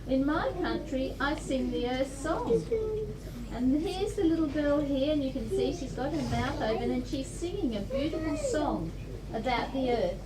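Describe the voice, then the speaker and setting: An Australian woman reading a picture book aloud to young children, pausing to explain simply and ask encouraging questions. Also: high voice, big pitch swings, over a noisy crowd. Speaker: storytime presenter reading to children. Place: library event room. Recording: distant, echoey room recording with clear noise.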